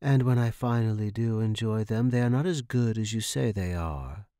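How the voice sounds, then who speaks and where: A man's voice: fairly low pitch, normal speed, mellow, no echo, clean studio sound, lightly acted. A man playing an imperious, sinister woman in a horror story, commanding and haughty. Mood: demanding, dissatisfied. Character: imperious sinister woman in purple, savoring her prey.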